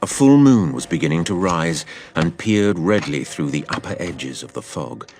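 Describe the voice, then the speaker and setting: Man as a very deep-voiced, grave storyteller. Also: animated, darkish tone. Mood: grave, suspenseful. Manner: measured, literary storytelling. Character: grown man gravely recounting his boyhood pirate adventure. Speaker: narrator. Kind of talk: scripted dramatic narration.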